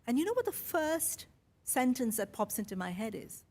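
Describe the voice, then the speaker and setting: A middle-aged woman with an Indian accent, a mix of Mumbai, Kerala and London, telling a personal story in a chatty, animated way as a podcast host. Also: on the high side, normal speed. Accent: Indian English, Mumbai and Kerala with London influence. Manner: chatty, animated, quotes her own thoughts.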